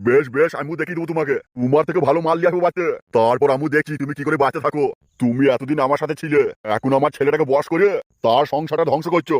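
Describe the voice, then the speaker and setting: Man doing a fast-talking lecherous cartoon father-in-law. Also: on the high side, no room sound, clean studio sound, lightly acted. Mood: spiteful. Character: lecherous, spiteful middle-aged village father-in-law. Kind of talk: comic cartoon dialogue.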